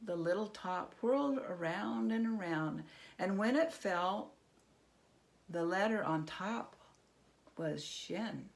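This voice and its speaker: A woman's voice: mid-range voice, lively intonation, slow, gravelly. A woman reading a children's story aloud as a scripted narration. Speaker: storybook reader.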